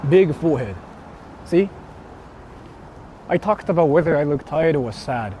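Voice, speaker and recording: Animated man rambling on a noisy street livestream. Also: a little high, a little slow. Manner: rambling, self-questioning, colloquial and profane. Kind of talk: casual livestream chat, reading viewer comments. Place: outdoors on an urban street, walking. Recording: clearly noisy outdoor city street stream.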